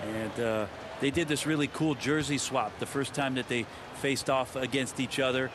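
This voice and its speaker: A man's voice: mid-range voice, steady tone, moderate pace. A man giving color commentary on basketball in a video game. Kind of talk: sports analysis in a video game. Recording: loud music and court noise behind. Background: music, basketball bounces.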